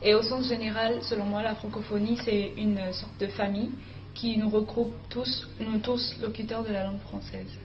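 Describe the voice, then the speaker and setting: Even-toned teenage girl, noisy dull recording. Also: mid-range voice, moderate pace. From Benin. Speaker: high school student. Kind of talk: prepared personal statement to camera. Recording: dull recording with clear background noise.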